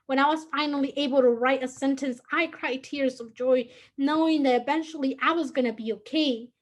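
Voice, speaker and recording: A teenage student from Guatemala, a native Spanish speaker with a Guatemalan Spanish accent, reading her personal prose aloud in an earnest, measured way. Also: sits high, steady tone. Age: late teens. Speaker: student reader.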